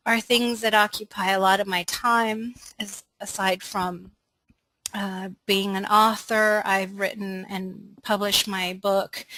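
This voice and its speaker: A middle-aged American woman from Los Angeles hosting a class, introducing herself informally in a reflective, conversational way with pauses to gather her thoughts. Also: medium pitch, bright, forceful tone, no room sound, poor audio. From Los Angeles, United States. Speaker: webinar host and astrology course facilitator.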